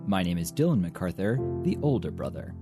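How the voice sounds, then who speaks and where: A man's voice: low voice, very animated, brisk, music in the background. A young man co-hosting a podcast, talking in a casual, articulate way with a dry wit. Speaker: podcast co-host. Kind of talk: casual review chat.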